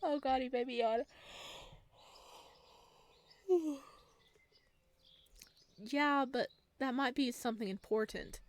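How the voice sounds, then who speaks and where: Casual, expressive woman reading a game aloud. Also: high voice, slow, long pauses. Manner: casual, expressive, jokey asides. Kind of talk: visual novel read-aloud with casual commentary.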